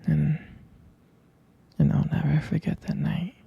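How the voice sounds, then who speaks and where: A man playing a devoted, smitten boyfriend in an intimate ASMR roleplay, speaking in a breathy, husky whisper, hesitant and tenderly repeating his phrases. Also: on the high side, flat delivery, slow, dull audio, heavily acted. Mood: tender, wistful.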